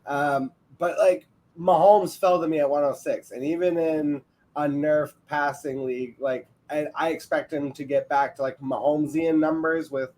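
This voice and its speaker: A man's voice: on the high side, fairly even intonation, normal speed, darkish tone, low-quality recording. A man from Canada with a Quebec accent, joking and self-deprecating as a guest on a casual podcast. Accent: Canadian French-English mix, Quebec. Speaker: guest analyst.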